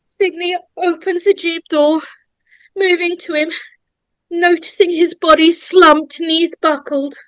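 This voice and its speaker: A woman narrator reading horror screenplay stage directions in a dramatic, suspenseful way, with deliberate pauses. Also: very high voice, fairly even intonation, a bit bright, dry recording, low-quality recording. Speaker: narrator of a script table read.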